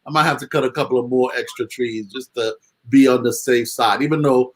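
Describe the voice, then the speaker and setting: A man co-hosting a casual chat show, talking in a relaxed, slangy, easygoing way. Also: medium pitch, brisk. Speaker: co-host.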